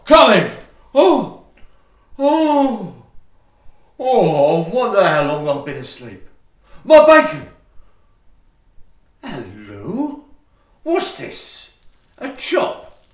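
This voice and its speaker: A man as a melodramatic, high-voiced Victorian printer. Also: recorded from a distance, heavily acted. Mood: startled, vengeful. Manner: grandiloquent, melodramatic, plaintive. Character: grumbling, indignant Victorian printer lodger, a man. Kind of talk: theatrical farce acting for audio play. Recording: roomy echo, away from the mic.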